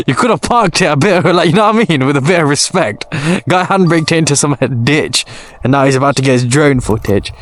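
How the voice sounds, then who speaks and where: A man's voice: on the high side, animated, brisk, fairly bright tone. British guy riding along, bouncy raised voice, slangy teasing, road noise behind. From United Kingdom. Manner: slangy, teasing, laughs often. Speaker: passenger and co-presenter. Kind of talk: casual banter. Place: in a car and outdoors on country roads.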